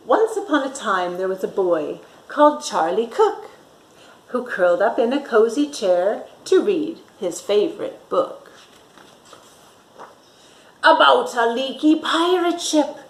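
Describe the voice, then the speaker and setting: A woman reading a picture book aloud to young children in a bright, sing-song storytime way, doing little voices for the characters. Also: on the high side. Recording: echoey room.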